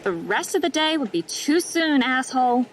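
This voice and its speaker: A woman's voice: high-pitched, big pitch swings, normal speed, a little hiss or hum, poor audio. A woman playing a sarcastic, foul-mouthed nurse. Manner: sarcastic, blunt.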